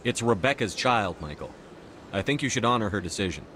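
An actor playing a calm soldier hero in his thirties in a video game, mediating in a direct, diplomatic way. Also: fairly low pitch, brisk, a little hiss or hum. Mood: firm. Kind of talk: video game dialogue acting.

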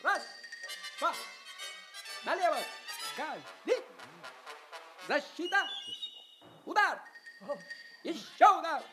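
A man's voice: very high voice, sing-song, extremely slow, long pauses, over music, full character voice. An actor playing a tiny, proud human performer among giants in a radio play, declaiming like a showman. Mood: brisk, showy. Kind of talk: Soviet radio play acting.

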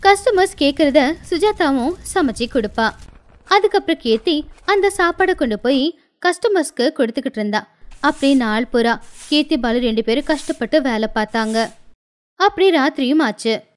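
A woman's voice: high voice, lively intonation, moderate pace. A woman narrating a scripted moral story as the storyteller.

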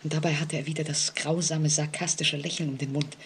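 An actress playing an anxious, distrustful woman in an old German radio play, speaking haltingly with suspenseful drama. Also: deep voice, fairly even intonation, a little hiss or hum, close to an everyday voice. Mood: weary dread. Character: frightened, haunted woman living alone, anxious and distrustful. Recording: vintage 1940s radio recording.

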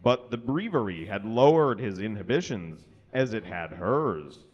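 A man's voice: fairly low pitch, animated, a little slow, muffled recording. A man in his thirties reading a story aloud to an audience into a microphone on a small stage. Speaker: reader at a live comedy show. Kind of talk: dramatic reading of fan fiction to an audience. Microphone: handheld or stand microphone.

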